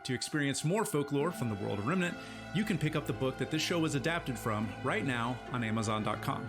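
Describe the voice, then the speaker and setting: A man's voice: mid-range voice, lively intonation, brisk. Male announcer's scripted plug over music. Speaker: promotional announcer. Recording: music bed behind the voice.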